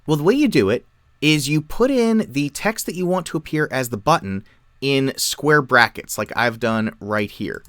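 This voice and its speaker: A man explains software step by step in a tutorial style. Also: mid-range voice, expressive, normal speed, no echo, studio quality. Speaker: tutorial presenter. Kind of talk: software tutorial, explanatory screen-share narration.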